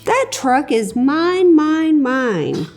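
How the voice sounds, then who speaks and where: A woman's voice: sits high, sing-song, very slow, darkish tone, heavily acted. A woman voicing a whiny, possessive little toad. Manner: whining, sing-song.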